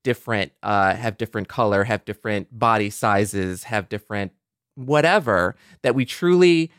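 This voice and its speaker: A man's voice: lowish voice, normal speed, a bit bright, clean tone, dry recording, clean studio sound. A man speaking casually as a panelist in a group discussion. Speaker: podcast panelist.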